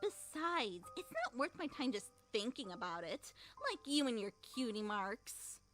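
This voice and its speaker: A woman's voice: fairly high pitch, big pitch swings, a little slow, music in the background. A woman voicing a bratty young cartoon pony filly, haughty and snippy, complaining with a guarded, lonely streak. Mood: guarded, bitter. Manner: snippy, scornful.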